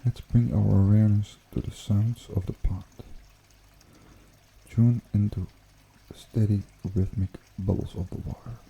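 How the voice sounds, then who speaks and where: A man hosting an ASMR guided meditation in a hushed, unhurried, soothing way with gentle pauses. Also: lowish voice, steady tone, gravelly, breathy edge, phone-quality sound. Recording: water trickling behind the voice. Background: pond water bubbling and trickling.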